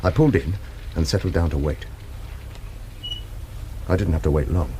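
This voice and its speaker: A man's voice: deep voice, normal speed, warm tone, natural voice. An actor playing a wry hard-boiled hired gunman, narrating his own radio thriller in clipped phrases. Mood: wry, watchful. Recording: noisy car sound effects behind.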